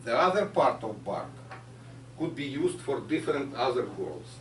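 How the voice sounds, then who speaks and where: A man with a Ukrainian accent giving a science lecture, halting with many fillers. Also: medium pitch, sing-song. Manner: halting non-native phrasing with frequent fillers. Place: lecture hall. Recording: echoey hall from far off, faint noise.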